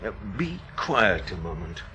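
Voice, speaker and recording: An actor playing a sceptical, uneasy gentleman in an audio drama, with a husky voice and a halting, intense delivery. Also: deep voice, big pitch swings, full character voice. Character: sceptical, uneasy male gentleman named Lewis.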